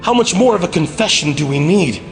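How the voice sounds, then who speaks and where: A man's voice: high voice, expressive, brisk, faint music behind. An actor in an anime fan dub playing a stern, grimly accusing male investigator.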